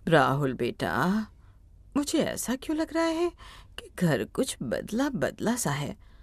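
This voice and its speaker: A woman voicing an anxious, fretful elderly mother in an animated moral story. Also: on the low side, slow, no echo, heavily acted. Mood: puzzled. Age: older. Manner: fretful, questioning.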